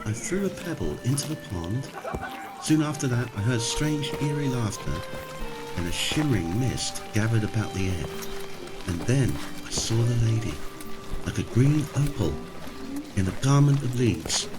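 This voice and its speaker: A man's voice: mid-range voice, lively intonation, normal speed, loud music behind the voice, natural voice, low-quality recording. A man playing an earnest adventurer in a fantasy audio drama, insisting on a wondrous tale in an emphatic storytelling manner. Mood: earnest, awed.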